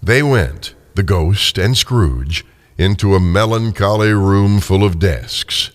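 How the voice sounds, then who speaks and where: An older man narrating a scripted story dramatically, with expressive, deliberate phrasing. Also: low voice.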